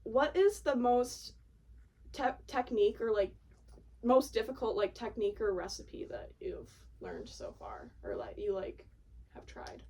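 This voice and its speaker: A woman with a moderately high voice hosts a casual chat. She speaks in an informal, conversational way, sprinkled with filler words. The microphone seems slightly distant. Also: expressive, measured pace, mellow. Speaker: podcast interviewer.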